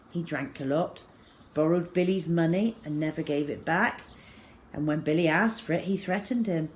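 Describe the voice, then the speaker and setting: A woman storyteller reading a children's book aloud in the first person, with dramatic emphasis that moves between emphatic and hushed delivery. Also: fairly low pitch. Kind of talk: expressive first-person children's audiobook narration.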